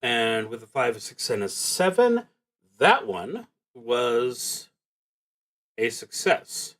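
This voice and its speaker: Man, medium pitch, slow pace, casual game-stream narration, thinking aloud, halting delivery. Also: sing-song, a bit bright. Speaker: solo roleplaying game streamer.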